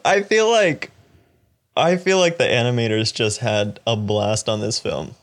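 Man, podcast host, mid-pitched voice, casual film chat. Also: a little slow.

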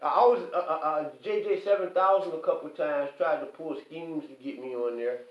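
A man delivering an impassioned, preachy monologue, emphatic and repetitive, often pausing to ask "okay?". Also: fairly high pitch. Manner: preachy, quotes scripture. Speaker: online commentator. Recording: echoey, distant, dull lo-fi.

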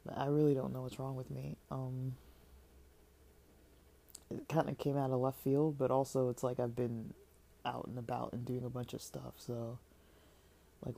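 Female vlogger, casual rambling delivery with lots of fillers, mellow tone, slightly stuffy and tired. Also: extremely low pitch, gravelly. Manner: hesitant and rambling, many fillers and restarts. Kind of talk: casual vlog monologue.

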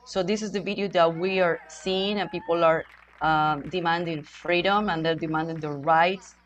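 A woman's voice: lowish voice, fairly even intonation, normal speed, highs cut off, slight background noise. A woman hosting an online interview, asking questions hesitantly with many fillers. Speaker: host.

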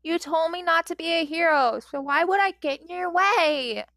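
Woman voicing a small boy, high voice, soft, pleading, earnest, dry close recording. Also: moderate pace, dry recording. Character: young boy, gentle and determined.

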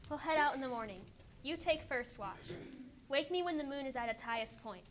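Azora, a woman playing a calm forest-speaking young woman. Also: high-pitched, fairly quick, slight background noise. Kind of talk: amateur radio drama acting.

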